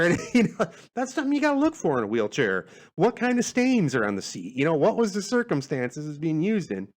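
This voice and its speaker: A man hosting a casual solo podcast, meandering through listener questions in a folksy, self-amused way. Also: medium pitch.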